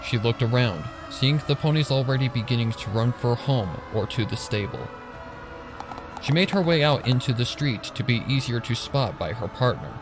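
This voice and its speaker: A man's voice: mid-range voice, lively intonation, moderate pace. A man reading a scripted story as a dramatic narrator. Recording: narration over music and sirens.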